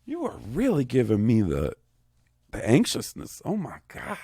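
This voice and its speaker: A man in his thirties co-hosting a podcast in casual chat, rambling and profane, getting excitable. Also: mid-range voice, moderate pace, slightly rough, dry recording, clean studio sound. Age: 30s. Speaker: podcast co-host.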